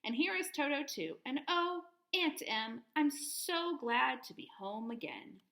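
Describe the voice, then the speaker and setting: A woman's voice: high voice, lively intonation, a little slow, gravelly, sharp tone, roomy sound, voice pushed up, heavily acted. A woman voicing an earnest, polite little farm girl from a storybook, with emphatic delivery. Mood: earnest, glad.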